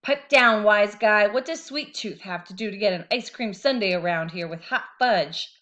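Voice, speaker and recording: A woman's voice: medium pitch, moderate pace, a bit bright, muffled recording, natural voice. A woman voicing a brash, grumpy tooth fairy who barks orders. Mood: bossy. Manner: brassy and bossy.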